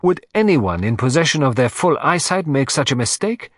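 An excitable, vain elderly detective, as a man reads him, bursts out in fussy, theatrical exclamations. The voice leaps high and swings widely. The sound is close and dry. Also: moderate pace, no room sound. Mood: agitated.

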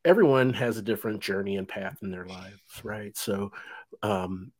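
A man's voice: fairly low pitch, moderate pace. A man in his fifties hosting an interview podcast, talking in a rambling way with many fillers and restarts. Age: 50s. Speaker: podcast host. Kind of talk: casual interview chat.

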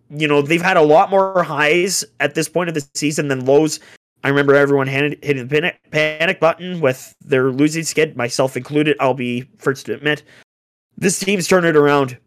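A young man on a casual sports chat, ranting in an exasperated way with rhetorical questions, his voice going hoarse. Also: a little high. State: getting hoarse. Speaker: podcast co-host, hockey fan.

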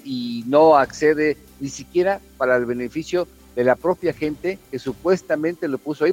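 A man giving informal, opinionated news commentary on a morning radio show, in colloquial words with a halting delivery. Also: on the high side.

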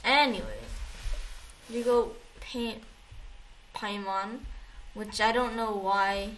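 Woman chatting haltingly over noticeable background noise. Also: medium pitch, expressive, a bit bright. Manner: halting, rambling retelling with repeated false starts. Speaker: co-host recapping a video game story. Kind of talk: casual chat. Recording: clearly noisy recording with background ambience.

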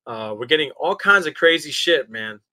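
A man's voice: a little high, lively intonation, normal speed, bright, forceful tone. A man co-hosting a casual podcast about horror collectibles, talking in a laid-back, swearing, bro style. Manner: laid-back, profane, calls people bro. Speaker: podcast co-host.

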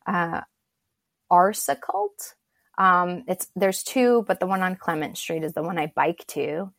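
A woman's voice: lowish voice, unhurried, creaky, fairly bright tone, dry recording, studio quality. A woman from Turkey, a guest on an interview show, speaking in a reflective and encouraging way. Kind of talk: casual interview talk.

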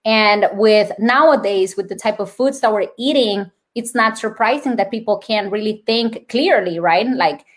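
A woman's voice: mid-range voice, normal speed, hardly any pauses. A woman with a Colombian accent, a nurse and wellness speaker, explaining her ideas earnestly in a podcast interview. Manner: earnest, explanatory, lists points.

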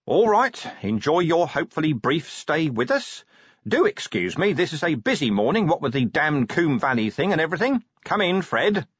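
A man's voice: on the high side, big pitch swings, normal speed, slightly rough, dry recording, dull audio, voice pushed up. A man voicing a weary, sardonic police commander in an audiobook, blunt, dry and impatient. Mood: curt, dry. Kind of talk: audiobook character acting.

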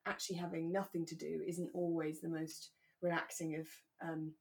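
A woman co-hosting a podcast, chatting in a relaxed, conversational way about a radio drama. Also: low voice, normal speed, creaky. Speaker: podcast co-host.

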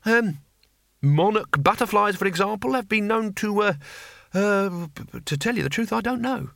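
Man voicing fussy elderly teacher, high stammering voice, dry close sound. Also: animated, normal speed, gravelly, a bit bright, dry recording, full character voice. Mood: flustered. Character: nervous, fussy older male schoolteacher.

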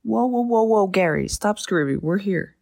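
A woman's voice: deep voice, moderate pace, creaky, mellow. A woman playing a wry, bossy, impatient member of a hero team in an audio drama. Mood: exasperated. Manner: exasperated, wry.

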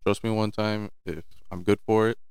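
A man's voice: on the low side, normal speed, vocal fry, smooth, dry recording. A young man, a business owner as a guest, chatting casually in an interview and agreeing easily. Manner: relaxed, agreeable, lots of fillers.

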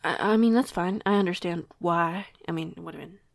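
A woman's voice: fairly low pitch, a little fast, gravelly, no echo, studio quality. A woman playing a flustered, curious young newcomer in an audio roleplay, with a hesitant, rambling way of talking. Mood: resigned. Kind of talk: audio roleplay acting, casual dialogue.

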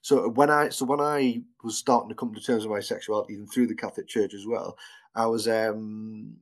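A man's voice: lowish voice, fairly even intonation, brisk. A man in his fifties hosting a podcast, asking questions in a casual, hesitant way with many fillers. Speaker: podcast host. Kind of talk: casual interview chat.

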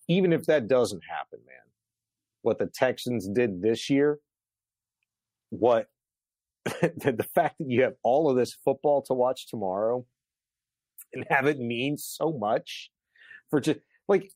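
A man's voice: mid-range voice, darkish tone, no echo. A man in his forties co-hosting a sports show, chatting in an emphatic, colloquial, ranting way. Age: 40s. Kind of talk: excited sports talk chat.

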